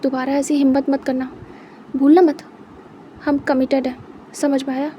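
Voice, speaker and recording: A woman's voice: high-pitched, little pitch movement, moderate pace, dark tone, a little hiss or hum, close to an everyday voice. A woman voicing a possessive, clingy young man who demands and pleads with his lover. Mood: demanding. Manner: demanding, then wheedling and pleading.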